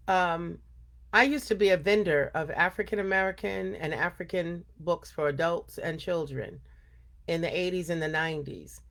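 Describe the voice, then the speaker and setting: An older woman hosting a storytime, speaking earnestly and deliberately, chatting informally and reading aloud for children. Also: lowish voice.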